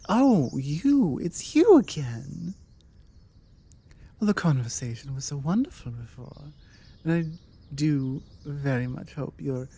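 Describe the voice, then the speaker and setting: A woman's voice: deep voice, dark tone, husky, heavily acted. A British woman playing a forward, confident female vampire, chatty and playfully insistent in an ASMR audio roleplay. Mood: delighted, insistent. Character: forward, confident female vampire making flirty small talk.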